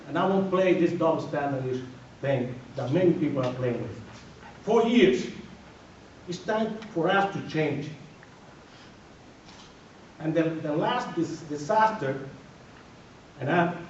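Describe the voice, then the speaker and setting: A man's voice: on the high side, darkish tone, a little hiss or hum. Dominican-accented man speaking from afar in an echoey hall. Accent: Dominican Spanish. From Dominican Republic. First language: Spanish. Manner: emphatic, repetitive, builds to firm declarations. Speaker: mayoral candidate. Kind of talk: campaign speech at a forum. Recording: distant, echoey, dull radio recording.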